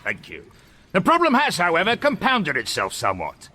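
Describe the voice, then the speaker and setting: An actor playing a pompous, cruel alien judge in a radio comedy, officious and theatrical. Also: male voice, high voice, huge pitch swings, noisy background. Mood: bureaucratic. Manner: officious, theatrical, with drawn-out deliberate phrasing.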